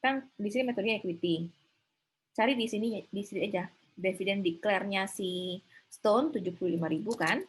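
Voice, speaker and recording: A woman's voice: mid-range voice, moderate pace, poor audio. A woman giving an informal online accounting lecture, thinking aloud and often checking with her students through short questions. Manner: conversational, often seeking confirmation with short tag questions. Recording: slightly rough online class recording.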